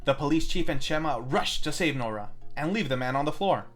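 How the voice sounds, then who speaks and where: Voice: mid-range voice, lively intonation, brisk. A film review host narrating a scripted plot recap with tongue-in-cheek asides. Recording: music under the voice.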